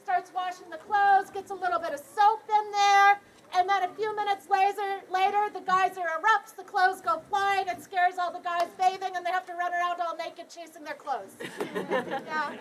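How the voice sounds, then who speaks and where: A young Canadian woman guiding a tour outdoors, projecting her voice loudly to a crowd and joking as she explains. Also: extremely high pitch, a little slow. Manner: loud projected voice without a speaker, joking. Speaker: tour guide. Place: outdoors beside a geyser.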